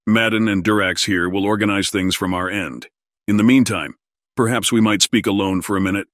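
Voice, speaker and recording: Man playing a low-voiced, officious colony administrator. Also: brisk, fairly bright tone, no room sound, studio quality, natural voice. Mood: courteous. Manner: formal, officious. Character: fussy, courteous male alien colony administrator. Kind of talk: audio drama acting.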